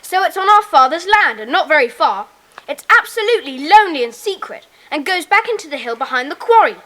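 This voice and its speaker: A woman playing a bossy, brisk schoolboy club leader in a children's audio drama. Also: very high-pitched, big pitch swings, sharp tone, exaggerated voice. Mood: eager, commanding. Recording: faintly noisy cassette tape.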